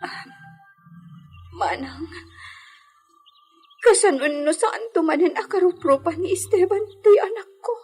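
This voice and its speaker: A woman acting an anxious, emotional expectant young wife in a Filipino radio drama. Her voice is high, with a Filipino accent, and soft music plays behind it. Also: lively intonation, a little slow, pauses often. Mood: tearful, pleading. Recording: background music under voice.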